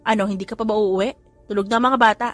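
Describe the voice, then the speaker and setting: A woman's voice: mid-range voice, fairly even intonation, fast, a bit bright, soft background music, natural voice. A woman voicing an irritated, flustered young mother who snaps back sharply. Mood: exasperated. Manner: snappy, exasperated.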